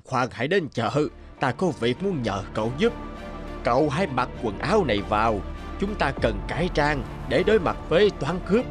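A man voicing a calm, shrewd, mysterious cloth trader in a cartoon, composed as he gives instructions. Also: medium pitch, normal speed, over music, natural voice. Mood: calm, instructing. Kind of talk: cartoon fairy-tale voice acting.

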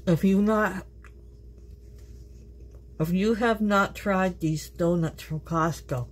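A woman from Canada chats through a home cooking vlog at an easy, unhurried pace, laughing often as she talks to her viewers. Her voice sits in an ordinary middle register. She is recorded in her home kitchen by a camera on the counter, with faint sizzling in the background. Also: mid-range voice, a little hiss or hum. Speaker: home cook, channel host.

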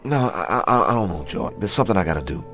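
An actor playing a bewildered, weary, earnest aging boxer in a radio drama, speaking haltingly and colloquially. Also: male voice, deep voice, expressive, a bit bright, faint music behind. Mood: distracted, friendly. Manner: halting, colloquial, repeating himself. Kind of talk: modern radio drama acting.

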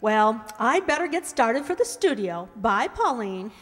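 Wry, breezy female star acted by a woman, highish lilting voice, dry punchlines, radio comedy in an echoey hall. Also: sing-song, measured pace, a bit bright. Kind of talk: old-time radio comedy acting. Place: stage in a large hall. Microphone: stand microphone. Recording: echoey hall stage performance.

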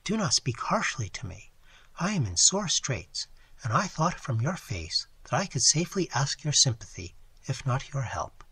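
A man's voice: big pitch swings, moderate pace, fairly bright tone, slightly rough, no room sound. Man reading as a distressed, pleading young lady. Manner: low murmuring, beseeching. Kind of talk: audiobook character reading.

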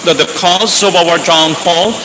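Solemn priest intoning in a big church. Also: male voice, a little high, fairly even intonation, unhurried. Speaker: priest celebrating mass. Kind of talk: liturgical prayer. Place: large church sanctuary. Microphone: stand mic at altar. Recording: long church reverb with background noise.

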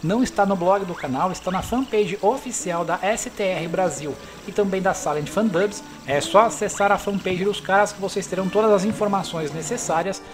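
A man's voice: high-pitched, normal speed, hardly any pauses, a little hiss or hum. A man with a Brazilian accent giving casual commentary as the host of a gameplay video. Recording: game music behind the voice. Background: video game music.

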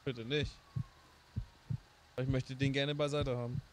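A man's voice: medium pitch, little pitch movement, a little slow, close to an everyday voice. A man playing a polite but firm police officer giving instructions in an online roleplay game. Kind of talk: improvised online roleplay in German. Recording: noisy gaming voice chat.

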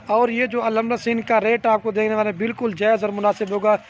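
A man with a Pakistani Urdu accent talks in a high voice, pitching his goats in a chatty, repetitive seller's patter. The phone audio sounds a little dull. A faint outdoor hum sits behind him. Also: flat delivery. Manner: repetitive, salesy, keeps saying "theek hai". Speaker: goat farm seller showing animals. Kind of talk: casual sales walkthrough on camera. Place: outdoor farmyard beside a garden.

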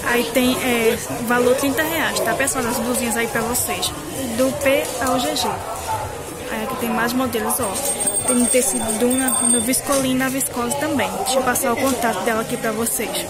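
A chatty Brazilian woman with a Pernambuco accent and a fairly high voice talks straight to her viewers as she walks through the stalls. Her phone catches a loud market crowd around her, with music playing behind. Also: steady tone, moderate pace. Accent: Brazilian Portuguese, Pernambuco. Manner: chatty, upbeat, addresses viewers constantly. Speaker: vlogger showing market suppliers. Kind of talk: casual shopping tour vlog. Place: busy outdoor clothing market. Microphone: handheld phone camera.

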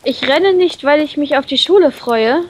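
High-pitched woman reading aloud, playful. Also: normal speed. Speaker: reader of a visual novel. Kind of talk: expressive let's play read-aloud.

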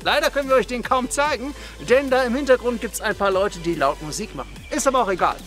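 A man's voice: very high voice, quick pace, fairly bright tone. A man from northern Germany talking to camera as a crew member, with a dryly joking manner. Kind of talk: self-introduction and short answers to camera. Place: outdoors in a park. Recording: music in the background.

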